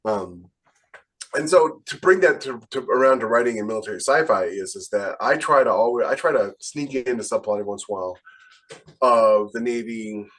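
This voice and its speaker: A man's voice: mid-range voice, a little fast, fairly bright tone. A man who is a podcast host and military veteran, talking casually in a rambling way and often restarting his phrases. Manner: rambling, repeats phrase starts. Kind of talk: casual podcast conversation with anecdotes.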